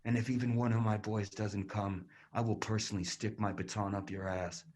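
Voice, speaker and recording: An actor playing a vulgar, brutal riot police officer who barks orders and taunts. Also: male voice, sits high, big pitch swings, normal speed, a bit bright. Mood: sneering.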